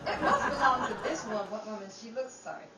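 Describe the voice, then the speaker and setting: A woman's voice: fairly high pitch, animated, quick pace, close to an everyday voice. A woman playing a cautious, practical young friend in a theatrical 1930s New York radio comedy. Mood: earnest. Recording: noisy roomy stage recording, distant mic, audience.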